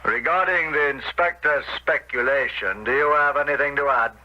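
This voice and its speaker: A man's voice: medium pitch, moderate pace, natural voice. Formal coroner, dull old radio sound, male actor. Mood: probing. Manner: formal, probing, official. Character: formal, courteous coroner presiding at an inquest. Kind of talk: 1970s radio drama acting.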